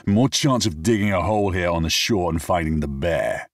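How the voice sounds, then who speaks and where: A man's voice: low voice, expressive, moderate pace, dry recording. A man voicing a tired male friend who talks in a dry, mildly mocking way.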